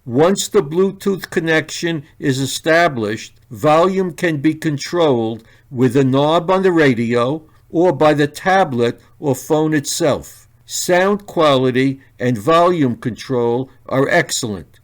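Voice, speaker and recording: Slow, deliberate man narrating a review. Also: a little high, expressive. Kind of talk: scripted narration.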